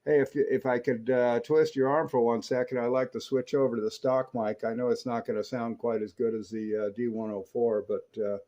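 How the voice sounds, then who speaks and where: An American man talks in an easygoing CB radio style, with a dull, band-limited sound. His pitch is middling and he explains things with a hobbyist's enthusiasm. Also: flat delivery, a little fast, hardly any pauses, dark tone. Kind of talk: casual CB radio chat.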